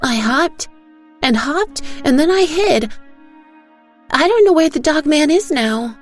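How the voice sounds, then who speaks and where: A woman's voice: sits high, expressive, slow, faint music behind, voice pushed up. A woman voicing a sweet, earnest little girl with childlike, simple phrasing in an audio storybook. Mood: earnest, bright. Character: sweet, earnest little girl telling her mother nightmares.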